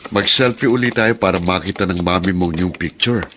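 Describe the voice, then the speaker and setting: An actor playing a caring brother and kindly uncle in a radio drama, with an earnest manner. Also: male voice, low-pitched, a little fast, noisy background, natural voice. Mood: reassuring.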